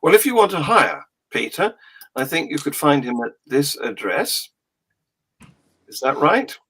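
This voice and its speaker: A man hosting a live-streamed talk show, brisk, plugging links and adding quick remarks. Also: a little high, sing-song, a bit bright, slightly rough. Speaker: show host.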